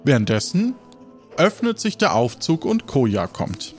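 A man runs a role-playing game as its narrator. He speaks at a slow pace, with faint music behind him. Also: a little high, very animated, darkish tone. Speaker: game master.

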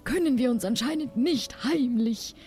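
A woman playing a cautious, honourable pirate companion in an improvised audio drama, calm and matter-of-fact. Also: a little high, moderate pace, lightly acted.

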